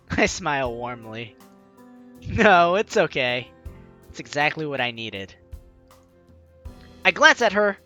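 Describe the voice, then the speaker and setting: A man's voice: a little high, sing-song, takes it slowly, long pauses, sharp tone, lo-fi sound, soft background music. A man hosting a let's play, expressively reading a story game's text aloud and reacting with enthusiasm. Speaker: gaming video host.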